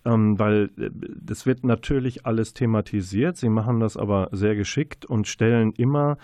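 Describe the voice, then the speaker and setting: A man's voice: on the low side, normal speed, warm tone, no room sound. A man hosting a literary interview, asking his questions with polite, considered phrasing. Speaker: radio interviewer, host.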